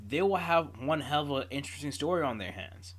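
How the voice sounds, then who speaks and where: A man's voice: fairly high pitch, expressive, fast. A man giving casual reaction commentary as the host of a reaction video.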